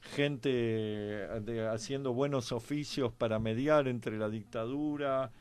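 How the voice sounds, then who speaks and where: A man's voice: medium pitch, takes it slowly, smooth. An Argentine man discussing history conversationally, hesitating often as he searches for his words. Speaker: history programme panelist.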